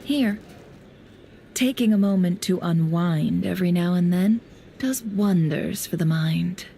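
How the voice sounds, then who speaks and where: Shrewd game heroine: mellow, medium-pitched, wry and poised, faint noise behind. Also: female voice, unhurried, creaky. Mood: teasing, hospitable. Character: poised, teasing, shrewd adult woman. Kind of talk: video game voice acting.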